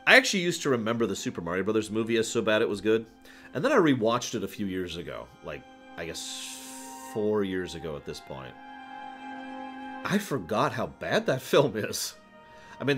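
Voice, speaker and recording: A man's voice: lowish voice, sharp tone. A man hosting a livestream, chatting casually and digressively with emphatic stresses as he gives his opinions. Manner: digressive, talks to live chat, emphatic stresses. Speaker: livestream host. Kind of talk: casual rambling stream chat, opinion commentary.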